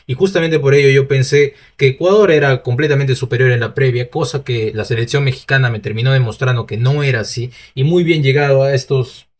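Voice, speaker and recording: Peruvian Spanish man, mid-pitched, chatty solo pundit who restarts lines and asks himself questions. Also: a little fast, hardly any pauses. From Peru. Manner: talkative, restarts often, rhetorical asides. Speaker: football opinion host. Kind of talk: solo opinion commentary.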